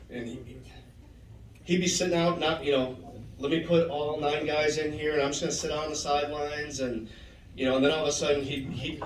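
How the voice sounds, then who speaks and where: A man giving a heartfelt tribute to a former teammate, telling stories and quoting him. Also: fairly high pitch. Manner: storytelling, animated quoting. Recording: roomy hall, voice from far off.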